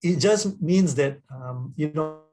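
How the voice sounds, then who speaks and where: A man's voice: on the high side, animated, slow, warm tone. A man, an author and guest speaker, answering a question in a webinar, explaining at length and often adding "right?".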